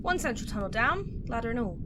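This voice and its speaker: A woman playing a quick-witted, bold alien time traveller in an audio drama, wry, brisk and flippant. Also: a little high, big pitch swings, very noisy. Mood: guarded. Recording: roomy echo, loud music behind.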